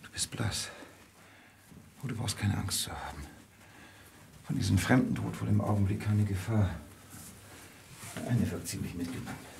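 An actor playing a brooding, detached gentleman in a radio drama, speaking reflectively and often hushed, with sudden urgency. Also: on the low side, normal speed, gravelly, long pauses, background noise. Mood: concerned. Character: brooding, detached gentleman in love with an endangered woman.

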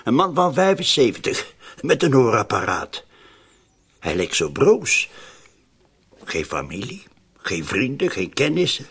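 An actor playing an uneasy, suspicious criminal plotter in a radio play, speaking in a halting, insistent way and repeating his words. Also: medium pitch, sing-song, a bit bright, slightly rough, full character voice. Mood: brooding. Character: uneasy, suspicious male criminal plotter, brooding over a murder. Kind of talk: Dutch-language radio play acting.

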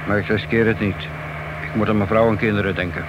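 A man's voice: on the low side, fairly even intonation, fast, lightly acted. An actor playing a cautious, by-the-book middle-aged policeman and family man in a radio play, sceptical and reserved. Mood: wary, firm. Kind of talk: radio play dialogue acting. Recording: dull old radio recording with hiss.

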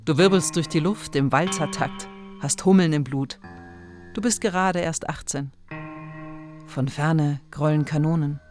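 A man's voice: on the high side, unhurried, close to an everyday voice. A man delivering rhythmic lyrical lines in a radio play, with guitar music behind him.

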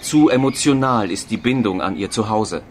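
An actor playing an assured finance magazine presenter, reading scripted narration in a radio play. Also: male voice, fairly low pitch, lively intonation, moderate pace, slight background noise, lightly acted. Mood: explanatory.

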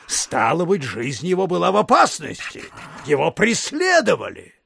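An agitated prosecutor in an audio drama. His high voice creaks and rasps, swinging widely as he frets. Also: huge pitch swings, moderate pace, fairly bright tone, no echo, clean studio sound, heavily acted. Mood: alarmed. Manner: fretful, exclaiming. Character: anxious, agitated town prosecutor. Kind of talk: audio play acting.

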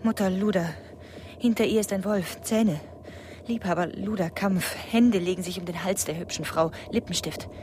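An actress playing an anxious, contemptuous wife in a terse inner monologue for a radio play. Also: female voice, fairly low pitch, normal speed, background noise, lightly acted. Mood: tense.

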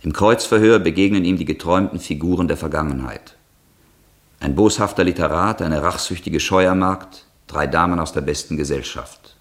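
A man introducing a German radio drama as a formal, sober, deliberate narrator. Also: low voice, natural voice. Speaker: announcer, narrator.

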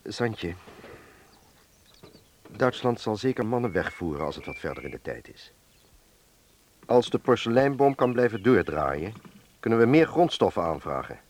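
An earnest middle-aged factory director in a wartime radio play. His low voice moves expressively through measured, reasoned explanations, with light noise on the recording. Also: very animated, darkish tone. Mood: persuasive. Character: earnest male factory director defending collaboration in wartime Netherlands. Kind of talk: Dutch radio drama acting.

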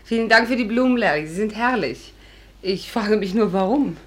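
A woman's voice: medium pitch, expressive, normal speed, natural voice. A woman playing a witty, sceptical, spirited young stage actress in a 1950s German radio drama. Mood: sceptical, teasing.

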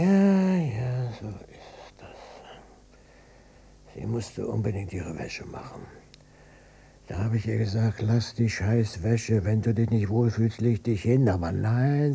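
Radio drama: self-centred old father, mellow creaky voice, resigned, sighing, repetitive grumbler. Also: fairly low pitch, animated, slow pace, full character voice. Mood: grumbling. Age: older. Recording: noisy cassette copy of radio play.

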